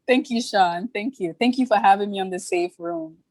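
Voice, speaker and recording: A woman's voice: mid-range voice, very animated, vocal fry, background noise. Candid young woman telling her story. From born in Ghana, raised in Toronto. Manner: earnest, candid, quick to laugh. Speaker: podcast guest, brand founder. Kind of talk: casual interview storytelling.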